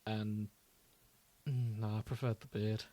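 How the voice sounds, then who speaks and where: A man's voice: on the low side, little pitch movement, measured pace, dark tone, no echo. A British man co-hosting a podcast, chatting casually about fan fiction. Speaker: podcast co-host.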